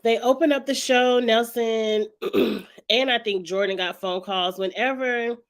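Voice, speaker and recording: A woman hosting her own vlog, talking casually and frankly about a reality show with a raspy, hoarse voice and frequent throat-clearing. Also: on the high side, steady tone, a little slow. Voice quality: raspy, heavy voice. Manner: chatty, frank, greets live viewers, clears throat often. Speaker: vlog host. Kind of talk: casual reality-TV commentary chat.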